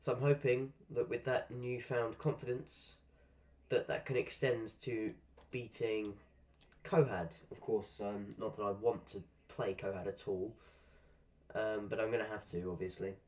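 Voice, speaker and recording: A man's voice: lowish voice, little pitch movement, unhurried, darkish tone. A man chatting casually while live-streaming a game, rambling through asides and swearing at the game now and then. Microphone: desk microphone.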